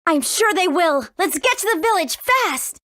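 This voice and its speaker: A woman playing a bold, determined young heroine in a video game, brisk, emphatic and commanding. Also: very high voice, sing-song, sharp tone, no echo, shouting, studio quality, heavily acted.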